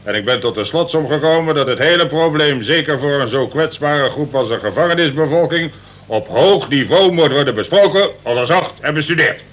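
An actor playing a measured, modest, slightly halting prison colonel in a Dutch radio play. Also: male voice, on the high side, lively intonation, fairly bright tone. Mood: earnest, deliberate. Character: hesitant, modest prison colonel, baffled by events. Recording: noisy old radio play recording.

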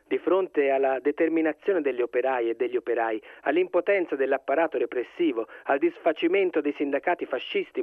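An actor playing a grave wartime radio chronicler, reading scripted history in a declamatory way through a radio filter. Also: male voice, medium pitch, dry recording. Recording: thin phone-like radio sound, very dry.

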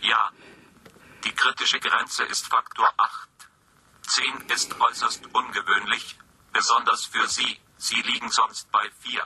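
An impassive testing machine from a science fiction radio play. The voice is very monotone and piercingly bright, with formal, clinical, pedantic phrasing. A faint noise sits behind it. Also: male voice, mid-range voice, measured pace, poor audio.

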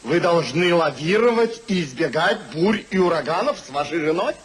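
A man playing a jovial captain, a gentleman friend giving advice, in a theatrical 1950s radio comedy. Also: fairly high pitch, lively intonation, normal speed, lightly acted. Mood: amused, advising. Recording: old radio recording, faint crowd.

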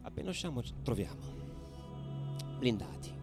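An actor playing a fervid football ultra who recounts stadium riots in a theatrical radio monologue. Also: male voice, medium pitch, sing-song, moderate pace. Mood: tense, subdued. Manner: theatrical, swinging between hushed murmur and loud declaiming. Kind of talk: dramatic radio theatre monologue over music. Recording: loud musical backing under the voice. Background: music.

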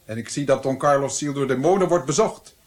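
An actor playing a stern, zealous Italian friar in a radio drama, speaking in a formal, accusing, haughty way. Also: male voice, mid-range voice, fairly quick, close to an everyday voice. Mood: haughty, threatening.

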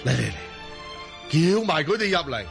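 An actor playing a proud middle-aged Mongol ruler in a Cantonese radio drama, stately and emphatic. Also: male voice, a little high, vocal fry, full character voice. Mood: pleased, hearty.

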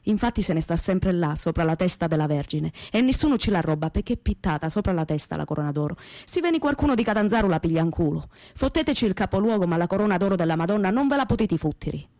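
A woman's voice: lowish voice, animated, fast talker, few pauses, darkish tone, dry recording. An actress playing a plain-spoken, proud young working-class woman with a Calabrian accent, telling her memories in earthy, colloquial radio theatre. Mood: proud, defiant. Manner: earthy, colloquial, repetitive folk storytelling. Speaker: stage actress performing a monologue. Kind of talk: dramatic first-person storytelling in dialect-tinged Italian radio theatre.

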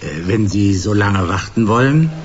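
An actor playing a fat, obsequious rental shop owner in a German radio play, speaking with formal, oily politeness. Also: male voice, on the low side, expressive, a little slow, lo-fi sound, slight background noise.

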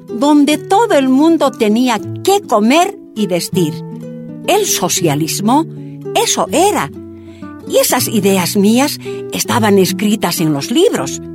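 A woman's voice: high-pitched, full character voice. A woman playing an earnest activist reflecting on her life in a radio drama. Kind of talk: first-person testimony in radio drama. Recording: music and guitar behind voice.

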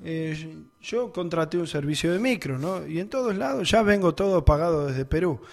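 Animated Argentine man telling travel stories. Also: a little high, moderate pace, creaky. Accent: Argentine Spanish. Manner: animated anecdote-telling, laughs often. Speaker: guest. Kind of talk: casual storytelling in conversation.

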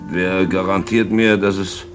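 Radio play victim: deep, emotionally varied, stammering and indignant businessman, music behind. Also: fairly even intonation. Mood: resigned. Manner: halting, emotional, stammering in shock. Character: distraught, indignant male businessman being blackmailed. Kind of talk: mid-century German radio drama acting.

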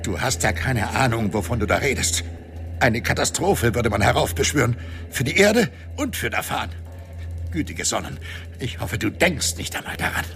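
An actor playing a grumpy, sarcastic old reanimator in a science fiction radio drama, gruff and scornful with a gravelly voice. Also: male voice, mid-range voice, expressive, moderate pace, a bit bright, faint music behind. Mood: alarmed, threatening.

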